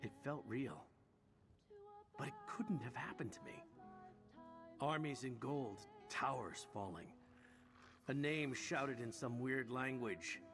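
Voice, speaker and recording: An actor playing a roguish, sincere adventurer in a video game, wry and a little haunted. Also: male voice, medium pitch, animated, takes it slowly, pauses often, over music. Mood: puzzled, earnest. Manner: wry banter turning earnest and reflective.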